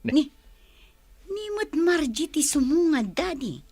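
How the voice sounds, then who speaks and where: Excitable high-pitched Filipino sister. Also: female voice, takes it slowly, full character voice. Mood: excited. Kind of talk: Philippine radio drama acting.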